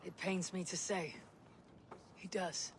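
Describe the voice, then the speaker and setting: A woman's voice: fairly low pitch, steady tone, slow pace, background noise. A woman playing a proud, stubborn, honor-bound Viking warrior in a video game, with a blunt, dry delivery. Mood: subdued. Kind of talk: dramatic video game acting.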